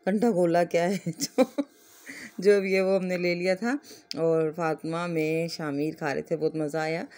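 Woman, Pakistani accent, even unvarying intonation, casual home vlog narration, chatty and explanatory. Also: lowish voice, measured pace. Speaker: family vlogger narrating her day.

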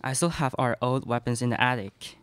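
A man's voice: medium pitch, brisk, fairly bright tone, clean tone, no room sound, close to an everyday voice. A young man playing a protective father and former vampire hunter in a live radio play. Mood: earnest. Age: young adult. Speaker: student radio play performer. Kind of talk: live radio theatre acting. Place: small classroom studio. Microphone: stand microphone, close.

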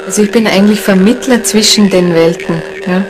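A woman talking reflectively in a documentary interview, halting and pausing to find her words. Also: mid-range voice, steady tone, music in the background, rough recording. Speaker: shamanic seminar leader and interviewee. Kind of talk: documentary interview, unscripted talk.

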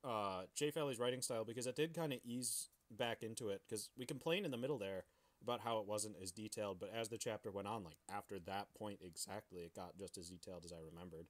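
A man's voice: fairly low pitch, quick pace. A young adult man co-hosting a podcast in casual, crude, profane banter. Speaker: podcast co-host.

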